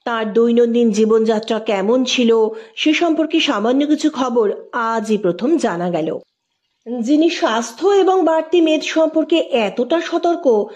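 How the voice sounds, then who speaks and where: A woman's voice: fairly high pitch, a little mellow, lo-fi sound. A woman narrating an audio story as its host, in a scripted, dramatic storytelling style.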